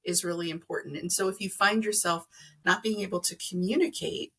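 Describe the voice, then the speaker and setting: A middle-aged woman speaks as a guest in a conversational interview. Her voice sits a little low, with a husky grain and frequent fry. She explains earnestly, stressing key words and acting out imagined remarks. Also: fairly even intonation, fairly quick, a bit bright. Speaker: podcast guest, energy healer.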